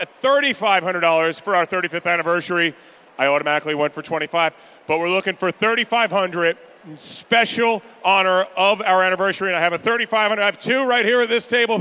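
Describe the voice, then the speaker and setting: A man calling pledges at a charity gala in a banquet room, energetic and upbeat with rapid-fire banter to the crowd. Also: high voice. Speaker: charity auctioneer. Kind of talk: live fundraising pledge calling.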